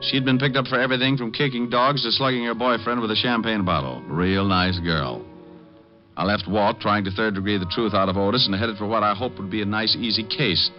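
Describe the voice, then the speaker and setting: An actor playing a breezy, cocky private detective who teases and narrates wryly in an old-time radio drama. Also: male voice, lowish voice, fairly quick, faint music behind, close to an everyday voice. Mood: wry narration. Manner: teasing, flippant, wry. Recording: muffled vintage radio recording.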